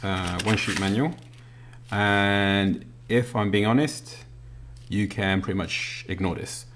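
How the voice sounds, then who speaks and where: A man's voice: on the low side, fairly even intonation, slow pace, a bit bright. A man giving a casual, unscripted product review in a hesitant, matter-of-fact way, indoors at a table. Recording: noisy home recording with clicks.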